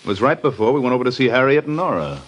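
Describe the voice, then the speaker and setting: An actor playing an offhand, unruffled detective's sidekick in an old-time radio drama. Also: male voice, mid-range voice, big pitch swings, rapid-fire. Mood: matter-of-fact. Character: laconic, loyal male sidekick of a detective.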